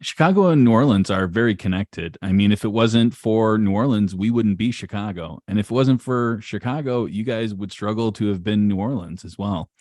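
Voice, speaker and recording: A man co-hosting a podcast, chatting in an easygoing way with quick agreements. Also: fairly low pitch, a little mellow, no echo, studio quality. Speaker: podcast co-host. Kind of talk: casual chat. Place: Chicago.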